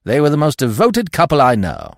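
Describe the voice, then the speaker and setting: A man's voice: medium pitch, huge pitch swings, brisk, vocal fry, no echo, studio quality, voice pushed up. A man voicing a brash, blunt, loyal secretary in an audiobook mystery, speaking in slangy, emphatic, indignant bursts. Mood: indignant disbelief. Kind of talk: acted dialogue in audiobook mystery.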